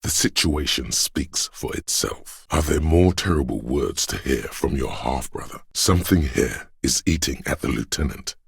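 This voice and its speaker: A solemn narrator for a detective video game. The voice is extremely deep, full of gravel and very husky. It sits close and dry, delivering literary narration with measured gravity. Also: male voice, lively intonation, no room sound, exaggerated voice. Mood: grave, watchful. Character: solemn inner voice of police camaraderie, narrating. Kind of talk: literary second-person scripted narration.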